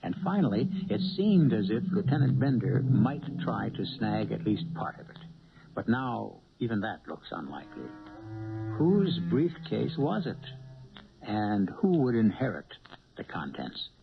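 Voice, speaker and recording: An actor playing a sly, theatrical host narrating a 1970s radio mystery with dramatic rhetorical questions. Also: male voice, fairly low pitch, big pitch swings, a little slow, vocal fry, over loud music, full character voice. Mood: sly, suspenseful. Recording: dull old radio broadcast.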